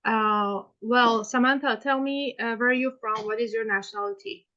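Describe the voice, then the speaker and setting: A woman questions a client in a mock hearing, pausing often and restarting her sentences. Her voice sits fairly high. The recording is dull and muffled. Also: steady tone, sharp tone. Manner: hesitant, many fillers and restarts. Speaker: student playing counsel in a mock hearing.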